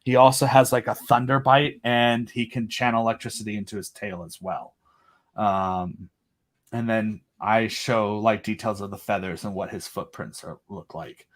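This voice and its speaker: A man's voice: lowish voice, steady tone, moderate pace. A man as an interview guest, eagerly explaining his own creative project in casual, rambling chat. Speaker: guest, creator of an audio drama.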